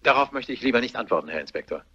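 An actor playing a self-assured, guarded family doctor in a German radio play, speaking formally with a husky voice. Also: male voice, medium pitch, very animated, racing, fairly bright tone. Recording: dull, muffled radio play recording.

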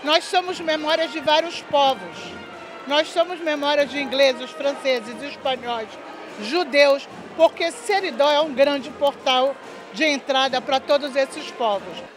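Older woman, high voice, at a craft fair. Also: unhurried, over music. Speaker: craft program coordinator. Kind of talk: interview. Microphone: handheld.

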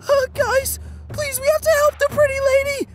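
A man's voice: extremely high pitch, little pitch movement, normal speed, loud music behind the voice, pitched above the performer's own voice. A man voicing a naive, timid young dragon, pleading and hesitant, in a cartoon comic dub. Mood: panicked pleading. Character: dim, sweet, timid young male golden dragon head.

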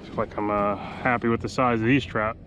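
A man's voice: on the low side, fairly quick, dull audio. Laid-back man narrating from a kayak. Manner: laid-back, chatty, excited exclamations over catches. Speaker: fishing vlogger. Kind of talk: casual running commentary while fishing. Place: kayak on open water under a pier, outdoors. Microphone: action camera mounted on kayak. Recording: outdoor wind and water noise. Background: water and wind ambience.

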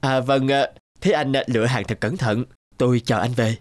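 A man voicing a trusting, eager peasant husband in an animated folk tale. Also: mid-range voice, moderate pace, noisy background, close to an everyday voice.

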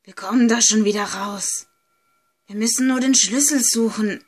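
A woman playing a young friend braving a haunted villa, subdued and measured, in an amateur horror audio drama. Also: on the high side, breathy edge. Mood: reassuring.

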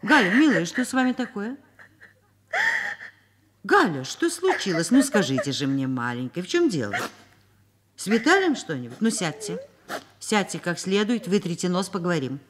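A woman's voice: fairly low pitch, sing-song, moderate pace, pauses often. An actress playing a kindly, wry, educated woman professor in a Soviet radio drama, speaking thoughtfully and expressively. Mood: motherly, soothing. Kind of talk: Soviet radio drama acting, first-person narration and dialogue.

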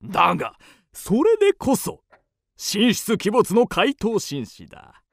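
A man acting a suave, boastful gentleman thief in a radio drama, theatrical and grandiose. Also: high-pitched, wildly swooping pitch, slow pace, vocal fry, dry recording, exaggerated voice. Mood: proud, musing.

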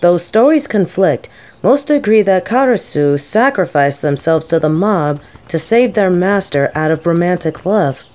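A gentle female professor, played by a woman in an audio roleplay. Her voice sits slightly low, with a warm, relaxed tone. She is scholarly and thoughtful, choosing formal words. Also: unhurried. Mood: measured, documentary. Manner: soft-spoken, thoughtful, formal wording.

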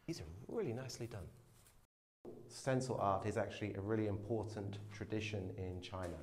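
A man's voice: fairly low pitch, fairly quick, a little mellow, a little hiss or hum. A man in his thirties filming a casual walking tour of an abandoned dormitory, explaining what he finds with curiosity, phone held at arm's length. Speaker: urban explorer vlogger. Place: abandoned dormitory building, indoors. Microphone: phone camera held at arm's length.

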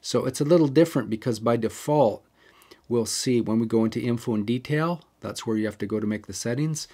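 A man's voice: medium pitch, brisk, dark tone. A man hosting a camera tutorial, talking casually and walking through settings step by step with the odd digression. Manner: chatty, digresses, explains step by step. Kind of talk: casual how-to walkthrough.

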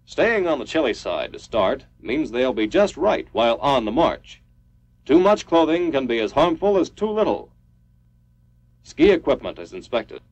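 A man's voice: medium pitch, very animated, moderate pace. A man reading a scripted 1950s military training film narration in a formal, clipped, instructional manner. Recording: dull lo-fi 1950s film soundtrack.

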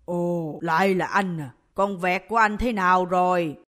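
An actor playing an elderly male pet doctor in a comedy cartoon, measured, pondering and sympathetic. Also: medium pitch, steady tone, fairly bright tone, natural voice. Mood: solemn. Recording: music behind.

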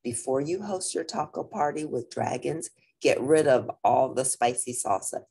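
Expressive, low-voiced woman reading to children. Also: husky. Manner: animated, deliberate, addressing young listeners. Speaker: storyteller reading a picture book aloud. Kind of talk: expressive children's read-aloud with brief asides.

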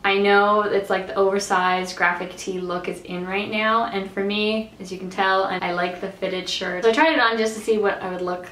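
A young woman from Canada chatting casually about clothes she tried on, slightly nasal and raspy from allergies, rambling with lots of fillers. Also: medium pitch, steady tone, moderate pace, hardly any pauses, fairly bright tone, reverberant, a little hiss or hum, low-quality recording. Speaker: fashion vlogger. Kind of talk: casual try-on haul chat. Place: bright bedroom.

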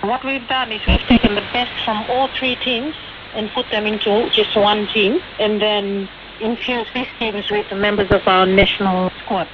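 A woman's voice: medium pitch, fairly even intonation, moderate pace. A woman from Fiji, a sports official, giving explanations in a radio interview. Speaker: interviewed sports association president. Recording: very noisy, dull shortwave radio broadcast. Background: loud hiss.

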